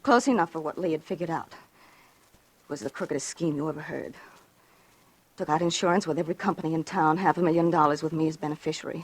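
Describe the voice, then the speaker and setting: A woman's voice: deep voice, fairly even intonation, fast, husky, low-quality recording. An actress playing a frightened, guilty woman in an anxious, urgent 1940s radio melodrama style. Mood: bitter, confessing. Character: frightened, guilty woman confessing her brother's scheme.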